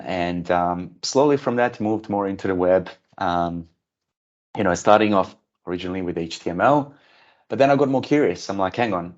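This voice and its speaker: Bosnian-accented man in his late 30s, lowish voice, relaxed rambling intro, muffled call audio. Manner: rambling, many ums and uhs. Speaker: software engineer at a tech company. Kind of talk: casual self-introduction on a video call. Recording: dull lo-fi video call audio.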